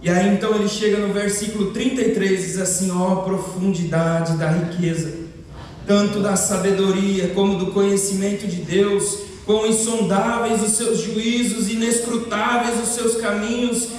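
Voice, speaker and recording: A man's voice: sits high, flat delivery, moderate pace, a little mellow. A man from the interior of São Paulo state with a Brazilian accent, preaching an expository sermon and building to emphatic proclamations. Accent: Brazilian Portuguese, São Paulo interior. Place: church hall. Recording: far-off mic in an echoey church hall with faint noise.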